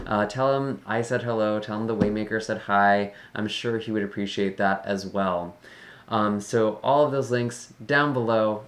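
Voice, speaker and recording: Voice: mid-range voice, a bit bright. A tarot reader hosting a channel and talking casually to viewers, with a slightly scratchy, tired voice. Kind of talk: casual talk introducing a general tarot reading.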